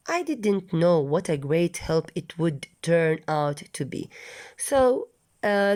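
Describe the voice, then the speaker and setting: Mellow-voiced woman teacher explaining a story. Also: fairly low pitch. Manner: patient, restates words with synonyms, explains vocabulary. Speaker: English teacher. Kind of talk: reading a story aloud and explaining it to students.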